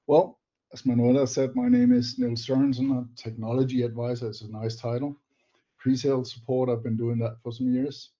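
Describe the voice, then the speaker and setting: An older Danish man gives a webinar talk, his voice mellow and warm in tone. He speaks English as a second language, measured and a little halting. The audio is dull and lo-fi, typical of an online meeting. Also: medium pitch. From Denmark. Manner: measured, slightly halting non-native phrasing. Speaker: presenter, technology advisor. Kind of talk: webinar presentation.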